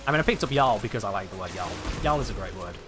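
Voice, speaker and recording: A man's voice: fairly low pitch, animated, racing, music in the background. A British man chatting casually while he livestreams a video game, rambling and correcting himself as he goes. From United Kingdom. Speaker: livestream gamer. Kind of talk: casual chat while gaming.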